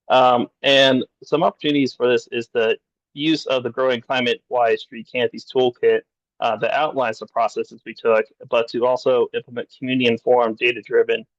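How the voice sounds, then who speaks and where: A man's voice: medium pitch, no room sound. Young man presenting over a muffled call. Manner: steady, reads through lists with fillers. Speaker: graduate student presenter. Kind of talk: presentation wrap-up.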